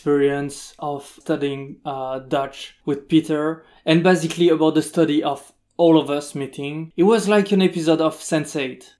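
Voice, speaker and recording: Man, podcast host, animated, casual chat, slightly high voice, lots of "like". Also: normal speed, few pauses. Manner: animated, says "like" and "man" often. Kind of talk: casual conversation.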